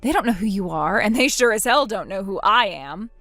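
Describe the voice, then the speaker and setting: A woman's voice: a little fast, no echo, soft background music. A woman voicing a determined older sister who speaks firmly and steadily. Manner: firm, steady, lower-voiced.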